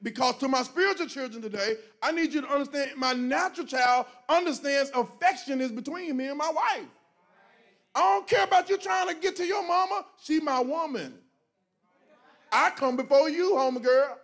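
A middle-aged man, a pastor talking to his congregation from a church stage into a handheld mic. He jokes and preaches in a lively way, often breaking into loud, emphatic exclamations. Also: extremely high pitch, moderate pace. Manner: animated, joking, bursts into loud emphatic exclamations. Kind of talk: preachy conversational talk to a congregation.

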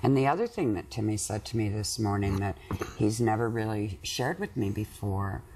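A middle-aged woman in couples counseling, explaining her feelings in a measured, reflective way with pauses between phrases. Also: rumbling low, animated, warm tone, a little hiss or hum. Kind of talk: reflective personal conversation. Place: small TV-style studio set, indoors.

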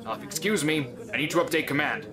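Guy doing a grim brisk station officer, slightly high voice, noisy background. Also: natural voice. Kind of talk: amateur audio drama acting.

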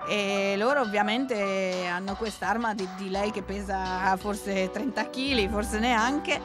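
A woman's voice: medium pitch, takes it slowly. A woman giving live figure skating commentary as a coach, thinking aloud and often repeating her words. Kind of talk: live sports commentary, unscripted analysis. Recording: music playing loudly behind the voice. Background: loud arena music.

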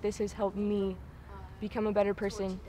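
A young woman from Utah, a missionary, speaking with bright enthusiasm in an outdoor interview. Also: medium pitch, flat delivery, moderate pace, slight background noise. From Utah, USA. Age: young adult.

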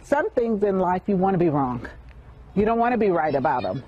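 A woman's voice: on the low side, very animated, a little fast, dark tone. A middle-aged woman giving her view as a resident in an outdoor news interview. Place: outdoors near parked cars. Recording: dull sound, faint outdoor noise.

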